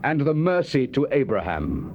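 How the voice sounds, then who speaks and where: A man's voice: medium pitch, very animated, normal speed, a little mellow, natural voice. Sweeping, reverent prophet played by a man. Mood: reverent, fervent. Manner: solemn, fervent, theatrical. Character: reverent Old Testament prophet extolling a merciful God. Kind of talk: dramatized King James scripture reading. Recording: dull, noisy cassette tape.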